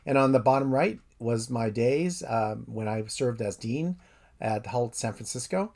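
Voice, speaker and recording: Man giving a steady course introduction. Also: mid-range voice, a little slow. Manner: steady, methodical explainer. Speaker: professor. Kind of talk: recorded course intro lecture.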